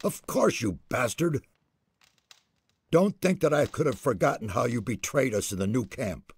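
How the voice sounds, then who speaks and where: An actor playing a haughty, irritable fantasy mage in a video game, with pompous, scolding, theatrical diction and a husky voice. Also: male voice, mid-range voice, animated, normal speed, a little mellow, dry recording. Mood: angry, scornful. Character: haughty, irritable male mage guarding ancient secrets.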